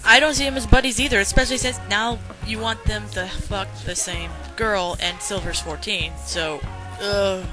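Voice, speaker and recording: A woman's voice: medium pitch, steady tone, normal speed, music in the background. A woman giving blunt, sarcastic and profane commentary while a journal is read aloud. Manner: blunt, sarcastic, swears freely.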